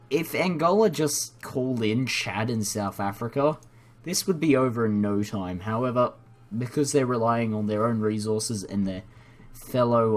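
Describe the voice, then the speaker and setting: A young man, a native English speaker, giving rambling, self-deprecating and apologetic casual commentary as the host of a gaming video, recorded indoors at a computer. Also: medium pitch. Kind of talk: casual unscripted game commentary.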